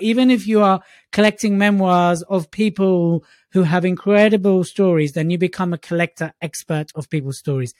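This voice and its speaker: A man's voice: high voice, a little mellow, no echo, clean studio sound. A man co-hosting a podcast, giving emphatic motivational tips on public speaking and repeating key phrases for punch. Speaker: podcast co-host. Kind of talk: upbeat motivational tips chat.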